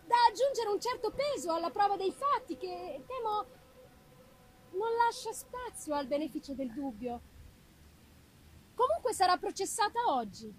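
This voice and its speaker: Woman as Ernest, high plaintive grieving boy. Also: slight background noise, exaggerated voice, poor audio. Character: Ernest, troubled, grieving younger brother. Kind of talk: dramatic gothic novel reading. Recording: music behind the reading.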